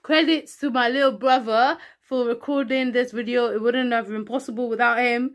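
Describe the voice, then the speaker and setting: A young woman chatting casually to her vlog audience at home, out of breath between workout sets and talking low. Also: fairly even intonation, normal speed. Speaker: vlogger. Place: living room at home.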